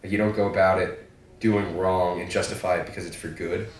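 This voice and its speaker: Chill young guy hosting, lowish voice, swears a bit, sounds far from the mic. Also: fairly even intonation, a little fast. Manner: laid-back, swears casually. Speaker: podcast host. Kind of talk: casual chat. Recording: echoey room, distant mic.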